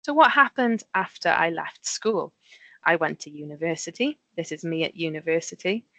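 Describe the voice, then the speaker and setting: Woman in her 30s chatting to school kids about her life, lowish voice, lots of ums, dry close sound. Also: lively intonation, normal speed, fairly bright tone, dry recording. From Wales. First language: Welsh. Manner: chatty, simple explanations with frequent ums. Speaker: engineer giving a talk. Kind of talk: friendly presentation to schoolchildren.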